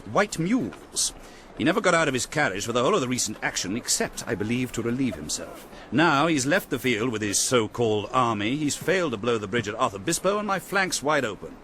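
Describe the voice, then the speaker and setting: A man's voice: medium pitch, a bit bright. A man playing a brusque, aristocratic commander-in-chief in a historical radio drama, curt and sardonic, amid outdoor noise. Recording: noisy outdoor battlefield sound effects.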